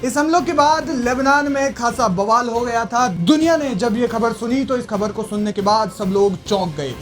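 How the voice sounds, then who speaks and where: A man's voice: very high voice, moderate pace. A man presenting scripted news narration in an emphatic, explanatory way. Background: background music.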